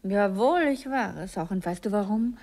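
A capricious, seductive stage diva acted by a woman in a German radio drama. She speaks at a middling pitch, imperious and mocking, sliding into melodrama and vain, teasing flirtation. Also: moderate pace, a little mellow, slight background noise, close to an everyday voice. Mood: vain, melodramatic. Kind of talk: German radio play acting.

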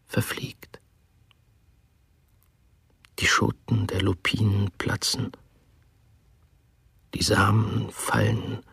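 Low gravelly guy reading real slow and grave, dry studio sound. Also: fairly bright tone, dry recording. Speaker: reader in a radio documentary drama. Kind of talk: solemn scripted reading.

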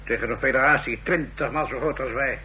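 An actor in a Dutch radio play voicing a sober, sceptical army general who speaks tersely and cautiously. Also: male voice, medium pitch, brisk, bright, forceful tone, close to an everyday voice. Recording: faint hum, old radio recording.

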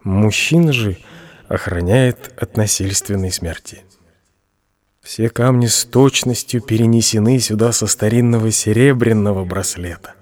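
Actor as a slow, humbly devoted admirer. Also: male voice, on the low side, darkish tone, lightly acted. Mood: tender, earnest. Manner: reverent, deliberate, formal. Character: humble, hopelessly devoted male admirer, a poor clerk. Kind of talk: audio drama letter monologue.

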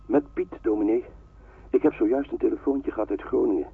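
A man's voice: medium pitch, fairly quick, gravelly, noisy background, natural voice. An actor playing an urgent, respectful resistance man in a wartime radio drama, speaking plainly. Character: urgent resistance farm man warning his pastor. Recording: dry, tinny telephone-like old radio recording.

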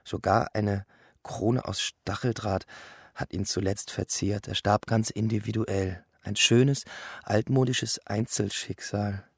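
A man reading the part of a cynical, composed camp doctor in a radio play, with calm, measured mockery. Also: fairly low pitch, creaky, breathy edge, dry recording, clean studio sound, heavily acted. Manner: calm, measured, almost respectable-sounding mockery. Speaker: actor and reader in a radio play. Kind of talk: scripted dramatic reading.

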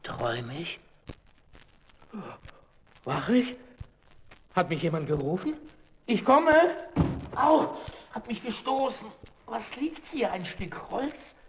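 An actor playing a kindly, lonely old puppet maker, talking fondly and theatrically in a children's radio play. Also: male voice, high-pitched, sing-song, slow pace, long pauses, noisy background, exaggerated voice. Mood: puzzled, amazed. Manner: exclaiming, talks fondly to his puppets.

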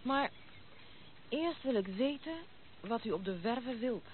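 A woman's voice: fairly high pitch, lively intonation, takes it slowly. An actress playing a proud, outspoken young noblewoman in a Dutch radio play, with a brusque, mocking manner. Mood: brusque, wary. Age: 20s. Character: blunt, proud, unconventional young noblewoman of twenty-six. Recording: tinny band-limited old radio play recording, noisy.

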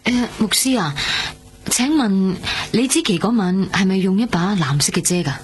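A woman's voice: medium pitch, expressive, moderate pace, slight background noise, natural voice. An actress playing a tentative, caring young woman in a radio drama, speaking conversational Hong Kong Cantonese. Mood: curious. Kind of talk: naturalistic radio drama dialogue. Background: music.

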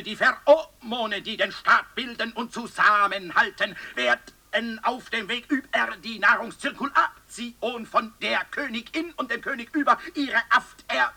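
A man performing a strained, halting lecturer on social insects in a mock-scientific radio piece, with a raspy voice. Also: very high-pitched, pushed and bright, full character voice.

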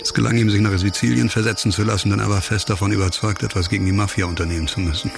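Grave ex-doctor, low gravelly voice, bitter, halting, radio crime drama, music behind. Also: male voice, fairly even intonation. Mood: somber, reflective. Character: bitter, grave disgraced former doctor, policeman's son.

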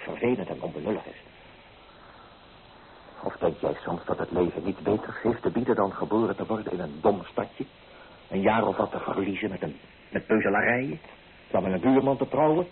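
A man's voice: fairly low pitch, slight background noise, lightly acted. Tinny radio-play recording, actor as sardonic flirtatious man. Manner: teasing, theatrical, persuasive.

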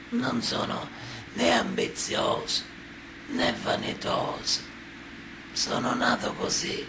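An old Sicilian godfather, creaking and half-whispered, crawling through each phrase. He is guarded and falsely humble, in a radio play with clear hiss behind him. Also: low-pitched, exaggerated voice. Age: older. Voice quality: gravelly, breathy, half-whispered. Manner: halting, measured, guarded. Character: old, soft-spoken Sicilian mafia boss, falsely humble. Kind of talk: theatrical radio drama monologue. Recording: noisy room hiss.

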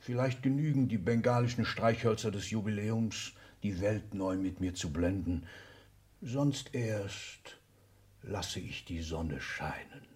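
Actor as imperious old magnate, swelling into declamation. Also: male voice, lowish voice, measured pace, lightly acted. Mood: confident, instructing. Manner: imperious, declamatory, bursting from muted asides into shouts. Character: domineering seventy-year-old excellency, vain, combative industrial magnate. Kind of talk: 1960s German radio drama acting.

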